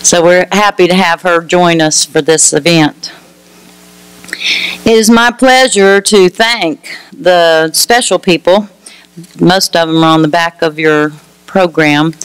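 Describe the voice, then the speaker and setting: A middle-aged woman with a naturally loud voice addresses an audience as a lead teacher. Her tone is exceptionally clear and smooth, and the sound is dry and close with no room echo. She speaks in a warm, chatty way. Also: lowish voice, unhurried. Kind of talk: ceremony speech. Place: auditorium.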